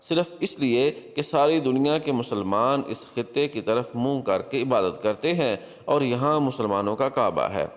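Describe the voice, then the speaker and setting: A man's voice: mid-range voice, lively intonation, moderate pace, hardly any pauses, close to an everyday voice. A man voicing a captured crusader spymaster in a storybook tale, coolly defiant, with solemn, declamatory delivery. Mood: ominous, prophesying. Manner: solemn, declamatory, emphatic address. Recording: long hall-like reverb.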